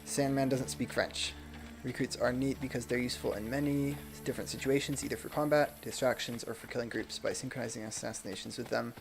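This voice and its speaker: Soft-spoken streamer man with gravelly voice. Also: mid-range voice, steady tone, a little fast. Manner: chatty, self-deprecating, a bit soft-spoken. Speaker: game streamer. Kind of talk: casual gameplay chat, reading chat aloud. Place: home room. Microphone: desk microphone. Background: game music.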